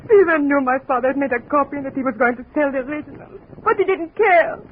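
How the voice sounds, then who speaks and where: Actress as very high-voiced distraught young woman. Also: quick pace, close to an everyday voice. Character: distraught young daughter of a killer. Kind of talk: 1950s radio drama acting. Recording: phone-like, hissy old radio recording.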